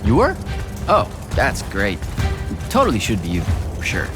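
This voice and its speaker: Lively low-voiced young hero. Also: male voice, normal speed, over music. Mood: awkward, insecure. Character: young male hero mage, wry, insecure, earnest. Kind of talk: naturalistic video game cutscene acting.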